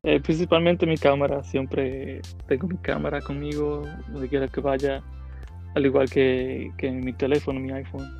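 Give me a hesitant man in his thirties with a gravelly voice, answering questions casually. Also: mid-range voice, little pitch movement, normal speed, darkish tone, lo-fi sound, soft background music. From Dominican Republic. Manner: hesitant, many fillers, mixes in English words. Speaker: interview guest, content creator.

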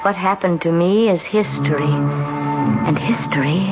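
A woman's voice: fairly low pitch, close to an everyday voice. A woman playing the possessive, old-fashioned ghost of a sea captain's wife in a radio drama, speaking formally and ominously. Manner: formal, archaic, slow and ominous speech. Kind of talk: 1970s radio drama acting. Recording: muffled vintage radio recording. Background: music.

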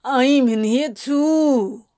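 A woman's voice: fairly high pitch, moderate pace, darkish tone, no room sound, studio quality, lightly acted. A woman voicing an impulsive, snappish male snake demon in an audiobook story, blurting and yowling. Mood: indignant.

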